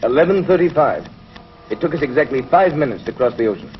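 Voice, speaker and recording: An actor playing an urgent, serious scientist in a 1950s television sci-fi serial. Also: male voice, medium pitch, very animated, quick pace, natural voice. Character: urgent scientist doctor aboard a time-travelling spaceship. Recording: dull vintage 1950s TV soundtrack.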